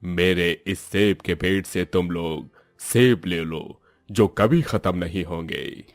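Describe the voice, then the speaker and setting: A man voicing a magical apple house, a calm and generous wish-granter in an animated moral story. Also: low voice, slow, no room sound, studio quality, faint music behind, pitched below the performer's own voice. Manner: calm, benevolent. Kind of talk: cartoon moral story dialogue.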